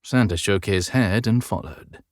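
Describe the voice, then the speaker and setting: A man's voice: on the low side, a little slow, no echo, clean studio sound. A man reading scripted, dramatic audiobook narration.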